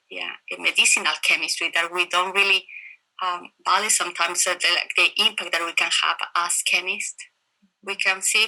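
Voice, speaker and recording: A woman with a Chilean Spanish accent, a professor explaining her research in an interview over a video call, phrasing her answers in a halting, reflective way. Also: lowish voice, sharp tone, rough recording. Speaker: interviewed professor of computational chemistry.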